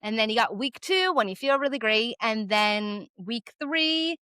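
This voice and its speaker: Young woman, vlogger, chatty, rambling, bright crisp voice, dry close sound. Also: a little slow, no room sound. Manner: chatty, rambling, lots of "like" fillers; animated, jumps up in pitch for emphasis. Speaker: vlogger host. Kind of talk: casual talk to camera.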